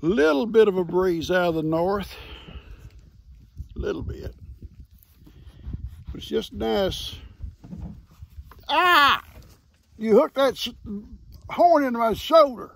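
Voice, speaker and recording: A man, hoarse from losing his voice, chatting and teasing his farm animals outdoors into a phone held at arm's length. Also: high voice, expressive, slow pace, long pauses, a bit bright. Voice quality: gravelly. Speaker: farm vlogger. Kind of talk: casual talk to animals and camera. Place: outdoors under a shade tree on a farm.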